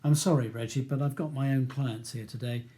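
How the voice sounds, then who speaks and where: A man's voice: medium pitch, fairly even intonation, a little fast, warm tone, natural voice. A man voicing a courteous, reserved male barrister in an audiobook, with polite, educated, slightly hesitant speech. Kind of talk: audiobook character dialogue.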